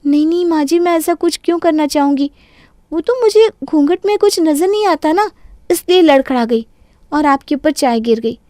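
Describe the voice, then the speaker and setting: A woman's voice: expressive, normal speed, darkish tone, no echo, studio quality. A woman voicing a young modern bride in a cartoon story, apologetic and pleading in a girlish, dramatic way. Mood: meek, apologetic. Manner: pleading, apologetic, high-pitched girlish delivery. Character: young modern Indian bride, meek outside, determined and dramatic. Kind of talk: Hindi cartoon story dialogue.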